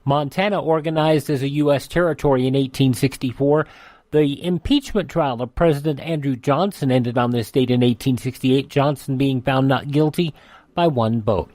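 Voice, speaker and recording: A man's voice: mid-range voice, darkish tone, dry recording. An American man hosting radio, reading a scripted rundown of events and dates in history. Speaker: radio host.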